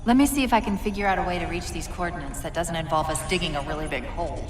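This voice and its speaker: A woman playing a quick-witted, wry female AI companion in a video game cutscene, articulate and expressive. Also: on the low side, big-room sound, a little hiss or hum, natural voice. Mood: wry, focused.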